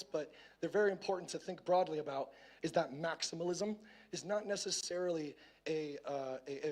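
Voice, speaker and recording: A hoarse man giving a casual tech conference talk on a main stage, often stumbling and repeating words as he starts a phrase. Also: fairly high pitch, darkish tone. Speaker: conference speaker.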